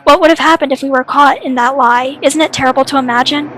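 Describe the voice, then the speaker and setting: A woman's voice: high voice, fairly even intonation, fast, fairly bright tone, soft background music. A woman voicing a cold, uncompromising young student leader in a drama, argumentative and stern. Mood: stern, accusing.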